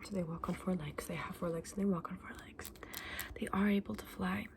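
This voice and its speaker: A woman whispering breathily in a gentle, unhurried ASMR roleplay as a shopkeeper talking to a customer. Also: on the low side, steady tone, a little hiss or hum. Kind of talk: whispered ASMR roleplay as a dragon egg saleswoman.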